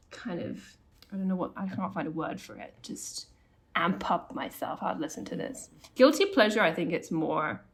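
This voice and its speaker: A woman's voice: fairly low pitch, vocal fry. A woman in casual podcast chat, rambling and going off on tangents. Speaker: podcast co-host.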